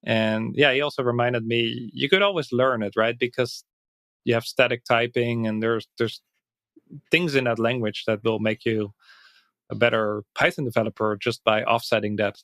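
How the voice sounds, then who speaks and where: A Dutch man, a native Dutch speaker, talking in English as a podcast guest, reflective and thinking aloud with soft laughs. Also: mid-range voice, fairly even intonation, moderate pace, no room sound. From Netherlands. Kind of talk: casual interview chat.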